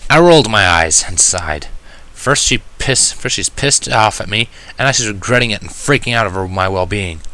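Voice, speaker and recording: Guy reading fanfic out loud, lowish crisp bright voice, scripted narration. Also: animated, normal speed, poor audio. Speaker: fan fiction narrator. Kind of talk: scripted first-person story narration.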